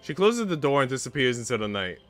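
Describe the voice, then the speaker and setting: A man's voice: medium pitch, sing-song, quick pace, fairly bright tone, soft background music. A young man hosting a livestream, speaking informally into a close mic. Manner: slangy, swearing, informal. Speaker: livestream host. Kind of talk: casual livestream chat.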